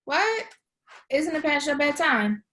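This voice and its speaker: A high female voice for a wry, defensive young woman acted by a woman at a table read. Her delivery is casual and sarcastic, with an awkward edge. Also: big pitch swings, slow pace, fairly bright tone, full character voice. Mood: irritated.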